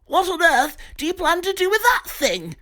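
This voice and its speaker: A jittery, squeaky posh pig. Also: male voice, expressive, measured pace, pushed and bright, heavily acted. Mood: alarmed. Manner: fussy, jittery, posh exclamations. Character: nervous talking pig, genteel British chap.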